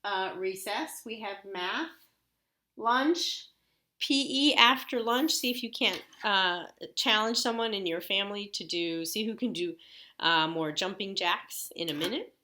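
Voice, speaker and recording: A woman's voice: mid-range voice, unhurried, bright, forceful tone. A woman teacher casually leading a children's read-aloud lesson, explaining and checking in with questions. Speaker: elementary school teacher.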